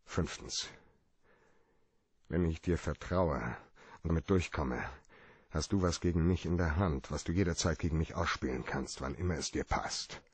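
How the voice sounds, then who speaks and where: An actor playing a hard-boiled, cynical private detective in a radio play, with a rough-edged voice and a blunt way of arguing point by point. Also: male voice, extremely low pitch, dry recording, exaggerated voice.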